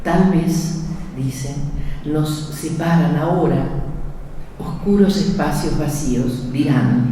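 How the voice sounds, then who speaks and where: Argentine woman, book presenter, very slow reading, mellow tone, roomy echo from far off. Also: deep voice, slight background noise. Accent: Argentine Spanish. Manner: measured, poetic. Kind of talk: slow reading of prepared remarks. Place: event hall. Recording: echoey hall from far off.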